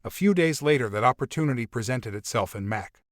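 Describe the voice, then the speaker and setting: A man's voice: medium pitch, animated, brisk, a little hiss or hum. A man reading scripted narration for an audio story as its storyteller.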